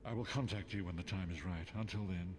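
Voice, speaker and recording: An actor playing an old blind prophet and guide in a fantasy video game, speaking in a solemn, measured, formal manner. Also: male voice, sits low, natural voice. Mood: weary. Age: older.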